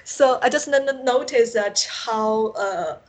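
A woman from China with a Chinese accent, a native Mandarin speaker, answering interview questions haltingly over an internet call and often searching for words. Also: a little high. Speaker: science fiction author, interview guest. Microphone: Skype call.

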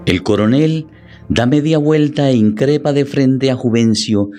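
Male storyteller for a radio drama, medium pitch, scripted dramatic delivery, soft music underneath. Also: measured pace. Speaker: narrator.

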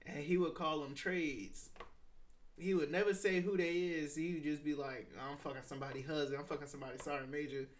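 A man hosting a podcast in casual, animated chat, swearing freely and laughing often. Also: fairly high pitch, brisk. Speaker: podcast host.

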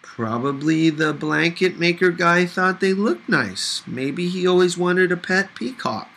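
Man playing teenage girl, audiobook character, caring, patient, casual, a bit higher, bright. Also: animated, a little slow, heavily acted. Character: caring teenage girl minding a small boy.

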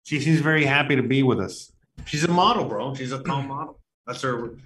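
A man's voice: mid-range voice, fairly quick, fairly bright tone, slight background noise, rough recording. A forty-year-old man co-hosts a livestream in an ordinary mid-range voice. His talk is loose and casual, with a jokey, self-deprecating way about it. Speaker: livestream co-host. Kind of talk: casual chat.

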